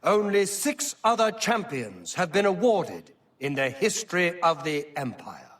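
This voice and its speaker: A highish voiced imperial chancellor, formal n earnest, very swoopy delivery, bit off the mic. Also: male voice, big pitch swings, measured pace, a bit bright, far from the mic, exaggerated voice. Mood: proud, ceremonial. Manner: formal, declamatory, emphatic. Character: earnest imperial high chancellor, formal, stately, concerned statesman. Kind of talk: dramatic fantasy game acting.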